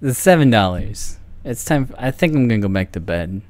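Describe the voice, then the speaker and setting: A man's voice: fairly low pitch, lively intonation, fairly quick, a little mellow. A young man chatting casually on a livestream, rambling and self-mocking in a distracted way. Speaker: video game livestreamer. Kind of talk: casual livestream chat to viewers. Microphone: microphone on a boom arm. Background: music.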